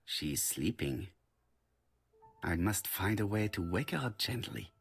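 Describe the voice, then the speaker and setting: An actor playing a polite, precise middle-aged detective in a video game, speaking formally with a French accent. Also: male voice, low-pitched, lively intonation, gravelly, husky, heavily acted. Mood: observant. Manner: formal, measured, courteous.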